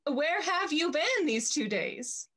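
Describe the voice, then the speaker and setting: A woman's voice: sits high, big pitch swings, slow pace, a bit bright, full character voice. A young woman playing a jealous, imperious jilted lady in a Restoration comedy, melodramatic and haughty. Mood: wounded, haughty. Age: young adult. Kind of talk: theatrical period stage acting over video call. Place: indoor room at home, on video call.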